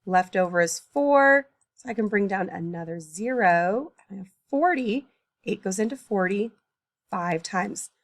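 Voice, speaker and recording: A woman with a gravelly, creaky edge to her voice talks through a math lesson, working each problem aloud. She stops to correct her own slips and sounds tired, early in the morning. The recording is dry and close, with no room sound. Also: mid-range voice, no room sound. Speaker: math teacher.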